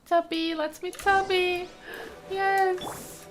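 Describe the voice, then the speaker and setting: A woman's voice: very high voice, level tone, extremely slow, faint music behind. A woman giving casual, chatty let's play commentary with an enthusiastic, cooing manner. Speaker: gaming video host. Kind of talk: casual let's play commentary, reading game text aloud.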